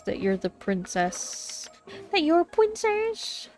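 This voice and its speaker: A woman's voice: high voice, big pitch swings, takes it slowly, darkish tone, soft background music. A woman streaming a visual novel with a stuffy, blocked nose, chatting casually and reading game lines aloud into a desk mic. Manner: chatty, self-deprecating, trails off mid-sentence. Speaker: VTuber streamer.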